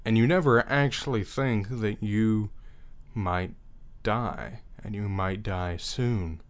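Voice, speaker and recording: A young man talking earnestly in a reflective personal monologue, unhurried and thinking aloud as he goes. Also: lowish voice. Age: young adult.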